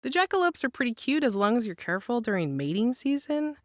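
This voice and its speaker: An actress playing an enigmatic, gently teasing woman from a world of monsters, telling a hushed bedtime tale in a measured, lyrical way for an audio drama. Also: fairly high pitch, sing-song, no echo, clean studio sound. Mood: amused, fond.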